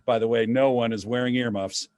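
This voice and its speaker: A man's voice: medium pitch, fairly even intonation, fairly quick, a little mellow, lightly acted. An actor in a staged play reading, playing a wry, theatrical dead father obsessed with golf. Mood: jaunty, sardonic.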